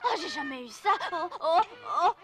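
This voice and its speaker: A woman's voice: very high-pitched, expressive, moderate pace, a bit bright. A woman voicing a whiny, restless little wooden puppet boy in a French cartoon dub. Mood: panicked. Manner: whining, exclamatory, complaining. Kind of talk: animated cartoon dub acting.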